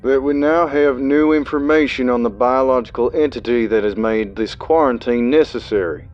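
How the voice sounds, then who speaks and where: A man's voice: mid-range voice, moderate pace, muffled recording, faint music behind, pitched above the performer's own voice, natural voice. A man voicing an older male official who announces in a formal, bureaucratic way.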